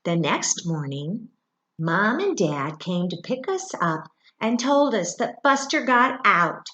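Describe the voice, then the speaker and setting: A middle-aged woman reads a children's book aloud like a teacher, expressively, pausing for asides and questions to young listeners. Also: medium pitch, dull audio. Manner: expressive, with dramatic gasps and thoughtful hums. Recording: roomy, echoey indoor sound.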